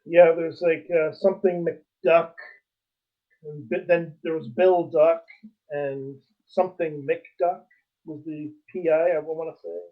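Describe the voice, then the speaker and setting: A man with a somewhat high voice chats casually as a podcast co-host. His audio is a little dull and low in fidelity. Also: fairly even intonation, slow pace. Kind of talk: casual chat.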